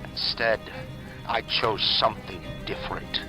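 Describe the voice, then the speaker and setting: An actor playing a proud visionary industrialist in a video game, delivering a grand, defiant, emphatic monologue. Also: male voice, lowish voice, fairly even intonation, a little slow, lightly acted, rough recording. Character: proud male visionary industrialist, founder of a city. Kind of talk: grand scripted propaganda monologue. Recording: loud music behind the voice. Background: loud music.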